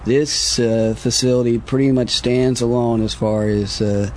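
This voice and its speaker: An American man, a government official giving formal interview answers in measured, deliberate, bureaucratic phrases, on a noisy recording. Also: medium pitch, level tone, darkish tone.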